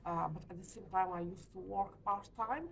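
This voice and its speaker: A woman in her forties from Lithuania, speaking English with a Lithuanian accent, answering interview questions hesitantly with many pauses. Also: medium pitch, fairly even intonation, creaky, slight background noise. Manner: hesitant, frequent uh pauses, light laughs. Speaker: letting agency owner. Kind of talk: business interview. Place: hotel meeting room.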